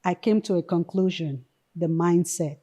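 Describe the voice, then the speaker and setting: A woman's voice: fairly low pitch, moderate pace, mellow. A middle-aged woman from Cameroon, a nurse practitioner speaking earnestly as a podcast guest, with lots of "you know" and "um". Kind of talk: podcast interview.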